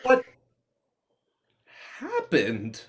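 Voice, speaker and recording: Male actor as awkward, polite teen lead in a dark stage comedy: slower, halting, dry humor, very lively pitch. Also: full character voice. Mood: bewildered. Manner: hesitant, wry. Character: awkward, dryly polite, uneasy teenage boy. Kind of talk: dramatized livestream play reading.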